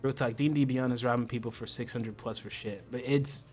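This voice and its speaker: A man's voice: mid-range voice, steady tone, brisk, a little mellow, faint music behind. An American man hosting a casual livestream chat, talking in an impassioned, slangy way and swearing freely. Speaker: livestream host.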